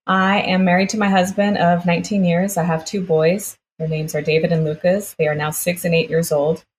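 A woman with a low voice recounts a personal experience as an interview guest. She talks conversationally and slips into quoted dialogue as she retells it. There is faint background ambience in the recording. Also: little pitch movement, a little fast, slight background noise. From United States, born in Brazil.

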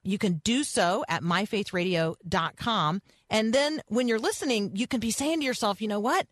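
Female radio host for faith programming: chatty, encouraging, medium pitch, close dry sound, speaks straight to listeners. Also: normal speed, no echo.

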